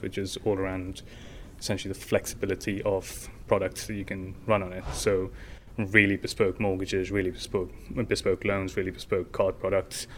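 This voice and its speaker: A man's voice: low-pitched, fairly bright tone, slight background noise. A young man, a startup founder, answering in a casual interview with long explanations full of fillers and restarts.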